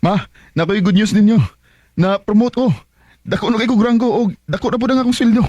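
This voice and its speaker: A man's voice: high voice, fairly quick, warm tone, lo-fi sound, faint music behind. A man with a Visayan Filipino accent playing a bitter, emotional young son in a radio drama. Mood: eager, hopeful. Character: bitter, emotional young adult son, rebellious yet loving. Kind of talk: Bisaya radio drama acting.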